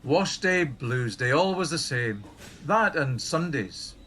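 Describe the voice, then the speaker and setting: A man's voice: a little high, expressive, slow, bright, forceful tone, a little hiss or hum, full character voice. A middle-aged man playing a wry, inquisitive senior colleague at a helpline in a radio play, with a dry, probing manner. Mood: wry, casual. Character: wry, inquisitive older male colleague in charge.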